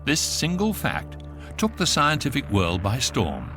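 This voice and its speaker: Knowing sci-fi guidebook narrator, mid voice with lively swing, dry, faint music. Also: male voice, medium pitch, a little slow. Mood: wryly informative. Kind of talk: scripted narration.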